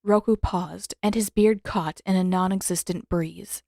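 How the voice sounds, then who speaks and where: A woman's voice: fairly low pitch, steady tone, measured pace, vocal fry, warm tone, no echo, studio quality. A woman reading fiction aloud as an audiobook narrator.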